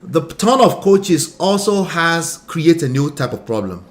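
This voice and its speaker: Brief: middle-aged Nigerian-American-accented man, somewhat high voice, laughy relationship coach. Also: a little slow. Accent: Nigerian, mixed with American. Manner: animated, laughs often, repeats words. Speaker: relationship coach and show host. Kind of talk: casual podcast chat.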